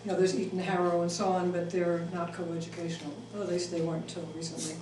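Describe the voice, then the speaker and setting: A woman's voice: fairly low pitch, little pitch movement, quick pace, warm tone. Woman, middle-aged, hesitant, fillers and restarts, distant mic, echoey room. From Switzerland. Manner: hesitant, many ums and restarts. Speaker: speaker. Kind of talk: talk with audience Q&A. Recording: echoey room, mic far off, faint noise.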